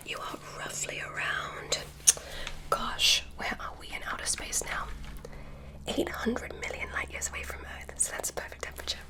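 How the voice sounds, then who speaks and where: A woman voicing a curious, polite female alien doctor who examines a human, speaking in a soft, slow, breathy whisper with gentle instructions, close to the mic. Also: natural voice, rough recording. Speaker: ASMR creator. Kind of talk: ASMR medical roleplay.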